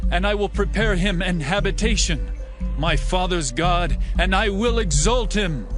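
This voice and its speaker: A devout leader of his people declaims praise in an audio scripture drama. The delivery is very slow and solemn, set high for a man, with prominent music behind the reverent words. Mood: exultant praise.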